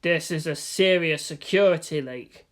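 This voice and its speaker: A woman voicing a cold, menacing middle-aged male commander, slow and terse, in a story reading. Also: low-pitched. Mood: cold anger.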